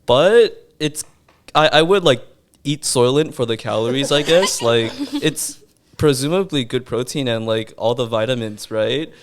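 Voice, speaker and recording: A man's voice: medium pitch, measured pace. A man in his twenties who grew up partly in China, chatting casually on a student podcast in a joking, easygoing way. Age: 20s. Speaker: podcast guest. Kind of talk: casual chat.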